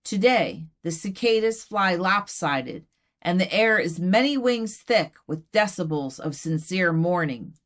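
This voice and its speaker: A woman voicing an earnest doll poet, reciting poems in a measured, deliberate way. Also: lowish voice, animated, a bit bright. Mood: solemn. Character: earnest female doll poet giving a reading. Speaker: poet reading own work. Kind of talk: poetry recitation.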